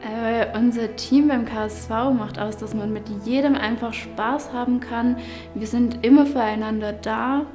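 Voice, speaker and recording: A woman's voice: on the high side, steady tone, measured pace, a little mellow. A young woman, a ski instructor speaking in an interview for a club promotional film. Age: young adult. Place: plain indoor studio. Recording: music behind the voice.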